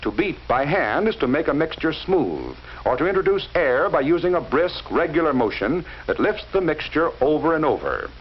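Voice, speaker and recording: 1940s film narrator, man, lively lo-fi. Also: fairly high pitch, husky. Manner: measured, instructive, addresses the viewer directly. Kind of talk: scripted educational film narration. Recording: dull lo-fi 1940s film soundtrack with faint hiss.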